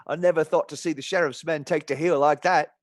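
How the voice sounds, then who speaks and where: An actor playing a rough, boisterous outlaw in a radio play, crude and laughing. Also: male voice, on the high side, fairly even intonation, a little fast, no room sound, studio quality, natural voice. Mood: gleeful. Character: rough, boisterous male outlaw just freed from a noose.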